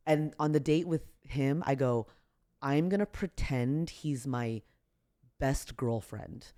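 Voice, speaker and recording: A woman with a deep voice, a comedian guest telling a story in casual podcast chat, relaxed and low-key. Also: slow pace. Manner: relaxed, low-key, acts out quotes with raised voice.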